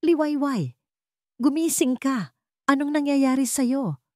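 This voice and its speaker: Young scheming groom for a storybook romance, played by a woman: tender surface, cold core, lifted pitch. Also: takes it slowly, dark tone, dry recording, clean studio sound. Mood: shocked, alarmed.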